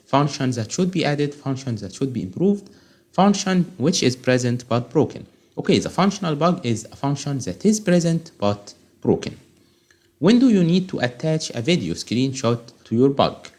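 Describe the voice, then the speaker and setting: A man's voice: mid-range voice, big pitch swings, moderate pace, darkish tone. A man with an Arabic accent, a native Arabic speaker, giving an informal step-by-step screen tutorial as an online instructor, often checking in with "okay?".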